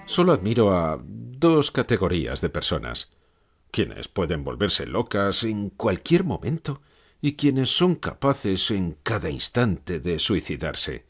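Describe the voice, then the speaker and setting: A man's voice: sits low, big pitch swings, takes it slowly, husky, faint music behind, heavily acted. A man playing a gloomy, ironic old philosopher in a dramatized interview, speaking reflectively with sardonic, emphatic phrasing. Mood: solemn, reflective. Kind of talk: dramatized literary interview for an audiobook.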